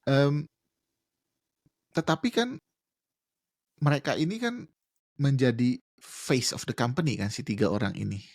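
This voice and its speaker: Gravelly-voiced man. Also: mid-range voice, lively intonation, unhurried, long pauses, no room sound, clean studio sound. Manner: explains with examples, slangy Jakarta register, mixes in English words. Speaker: guest. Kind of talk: casual podcast chat.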